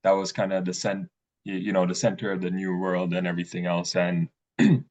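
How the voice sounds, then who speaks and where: A man hosting an interview who asks questions haltingly, with many stammers and fillers. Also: low voice, steady tone, a little mellow. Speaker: interviewer, host.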